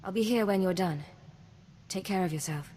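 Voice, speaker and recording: A woman's voice: mid-range voice, moderate pace, mellow, big-room sound, slight background noise, natural voice. An actress voicing a composed, direct female imperial secret agent in a video game. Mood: caring. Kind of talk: scripted video game voice acting.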